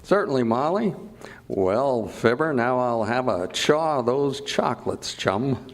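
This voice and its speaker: A man acting a chummy, eager announcer who pitches for the sponsor in an old-time radio comedy, with a breezy manner. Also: animated, unhurried. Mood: chummy, joking.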